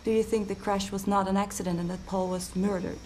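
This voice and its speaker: A woman's voice: medium pitch, steady tone, fairly quick, a little mellow, slight background noise, lightly acted. An actress playing a composed, defiant researcher being questioned in a naturalistic TV drama, her answers terse and cutting.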